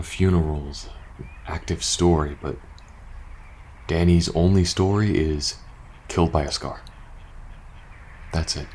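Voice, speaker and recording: A man playing a weary, uneasy soldier confiding in his best friend in a post-apocalyptic ASMR audio roleplay, speaking haltingly and casually. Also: a bass voice, darkish tone, slight background noise, heavily acted. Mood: troubled, suspicious. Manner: hesitant, halting, casual swearing, sighing.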